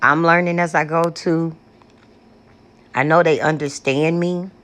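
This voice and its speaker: Woman, deep coarse voice, unhurried soft-spoken vlogger calling to spirits outdoors. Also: fairly even intonation. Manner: gentle, pleading, repetitive calling out. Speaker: paranormal vlogger. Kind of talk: slow, hushed spirit invocations mixed with casual chat to viewers. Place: outdoors on a front porch. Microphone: external microphone.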